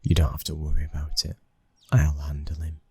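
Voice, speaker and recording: A man with a British accent acting as a brooding, protective young mafia boss's son in an intimate ASMR boyfriend roleplay, speaking in a husky, hushed, intense way that often nears a whisper. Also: rumbling low, monotone, fairly quick, no room sound, full character voice. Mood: firm, protective.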